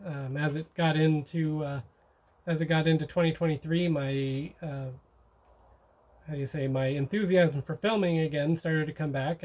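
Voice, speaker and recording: A man's voice: a little high, muffled recording. A middle-aged man hosting a sailing vlog, giving a casual, rambling recap to camera from inside a parked motorhome, with lots of fillers and restarts.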